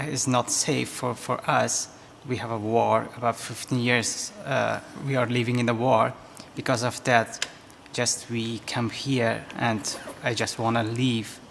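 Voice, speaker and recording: A man from Afghanistan with an Afghan accent, answering from a studio audience in halting non-native English. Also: medium pitch, vocal fry, fairly bright tone. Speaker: audience member answering questions. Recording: reverberant studio hall, faint background noise.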